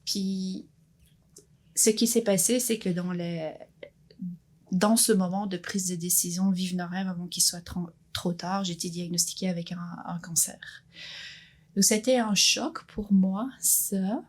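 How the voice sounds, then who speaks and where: A woman's voice: on the low side, fairly even intonation, unhurried, a little mellow. A woman, sharing her personal story as an interview guest in an earnest, thoughtful way with frequent restarts. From Belgium. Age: 50s. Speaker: guest entrepreneur.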